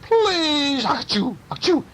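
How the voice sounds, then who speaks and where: A man voicing a panicky storybook burglar who yells, pleads and sneezes in an exaggerated way. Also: very high voice, big pitch swings, slow pace, pitched above the performer's own voice, exaggerated voice. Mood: pleading.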